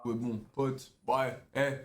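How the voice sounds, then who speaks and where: A man's voice: mid-range voice, level tone, takes it slowly. A young adult man, a streamer, bantering and mocking a stranger in slangy casual chat.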